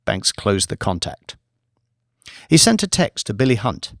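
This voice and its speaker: A man's voice: fairly low pitch, sing-song, unhurried, creaky, fairly bright tone, no room sound, studio quality. A man reading scripted fiction as an audiobook narrator.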